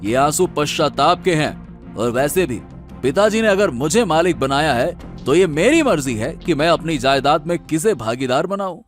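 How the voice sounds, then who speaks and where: Cartoon role, male actor: dutiful, trusting younger brother, earnest and respectful, slightly high, very expressive pitch. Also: normal speed, soft background music, natural voice. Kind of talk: Hindi cartoon moral story acting.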